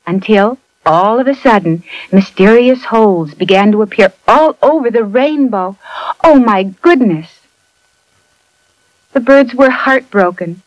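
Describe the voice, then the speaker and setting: A woman narrating a scripted children's fairy tale in an expressive storytelling manner. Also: a little high, no room sound. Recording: muffled lo-fi audio, faint music behind.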